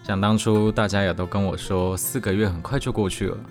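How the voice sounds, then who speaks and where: Taiwanese young male army veteran, played by a man, low voice, teasing yet supportive, radio drama, music underneath. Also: steady tone, a little fast, natural voice. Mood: encouraging. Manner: teasing, reassuring, explanatory.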